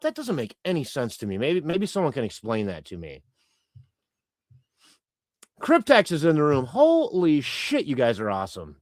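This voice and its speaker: A man's voice: high-pitched, no room sound, clean studio sound. A man whose native language is Croatian, hosting a casual livestream and reading out chat in a rambling, chatty way with many fillers and restarts. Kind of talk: casual live-stream chat.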